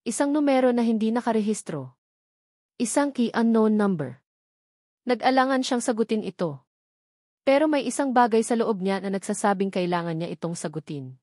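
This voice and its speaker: A woman's voice: fairly high pitch, moderate pace, long pauses, mellow, dry recording. Filipino woman narrating a dramatic story, dry recording.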